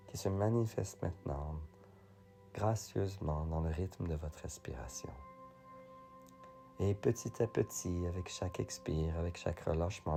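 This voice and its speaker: A man with a very low, mellow voice leads a guided relaxation. He speaks softly and soothingly, sometimes close to a whisper, with a crackle of fry at the edges. His phrases repeat gently, with long pauses between them. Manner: soothing, soft, often breathy near whisper. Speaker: yoga nidra guide.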